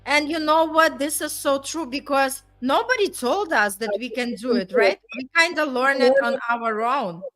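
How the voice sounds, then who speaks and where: A woman's voice: high voice, animated, moderate pace, few pauses, fairly bright tone. A woman in casual conversation, speaking earnestly and encouragingly, often checking in with "right?". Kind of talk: casual motivational chat.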